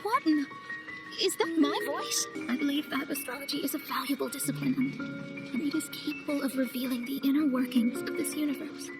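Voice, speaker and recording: A woman's voice: high-pitched, brisk, close to an everyday voice. A woman playing a proud, easily flustered young astrologer mage in a video game, self-assured and dramatic. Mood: proud, startled. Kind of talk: video game cutscene acting. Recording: clear music behind the voice.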